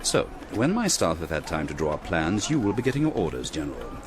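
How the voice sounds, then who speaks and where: Brusque, sardonic, animated British general. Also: male voice, low-pitched, brisk, a crowd in the background. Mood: sardonic, commanding. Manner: curt, sardonic, commanding. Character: brusque, dry, aristocratic British commander-in-chief. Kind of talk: historical radio drama acting. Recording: noisy outdoor battlefield sound effects.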